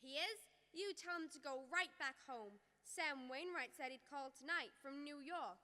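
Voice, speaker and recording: A woman's voice: high voice, normal speed, bright, forceful tone. A young woman playing a nagging, disapproving middle-aged mother in a school radio drama, calling out and scolding. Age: young adult.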